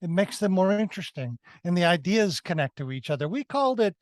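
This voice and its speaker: A man co-hosting a review discussion, explaining his views casually and haltingly, often repeating and correcting himself. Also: high-pitched, sing-song, dry recording, clean studio sound. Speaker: podcast co-host reviewing films.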